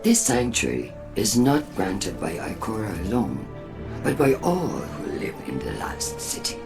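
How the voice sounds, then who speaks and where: An actor playing a haughty, suspicious city leader in a game cutscene, curt, formal and disdainful. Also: male voice, on the low side, huge pitch swings, unhurried, music in the background, full character voice. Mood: cold, guarded. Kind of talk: dramatic game cutscene acting.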